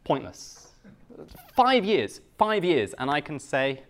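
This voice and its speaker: British male guest speaker, unhurried, plain words, self-mocking humor. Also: on the high side, big pitch swings, a little hiss or hum. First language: English. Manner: simple slow English with self-mocking jokes. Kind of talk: live talk to a Japanese audience.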